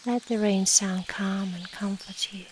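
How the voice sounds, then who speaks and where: A woman guiding a meditation in a soft, soothing, measured way with long pauses and breathy endings. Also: mid-range voice, low-quality recording. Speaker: meditation guide. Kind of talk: slow guided meditation. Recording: steady rain noise behind the voice. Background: rain and nature ambience.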